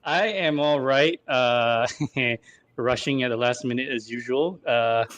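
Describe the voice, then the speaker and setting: A man's voice: medium pitch, takes it slowly, fairly bright tone. A man from Singapore with an American accent, co-hosting a casual livestream in a rambling, self-deprecating way, speaking over a video call. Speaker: co-host.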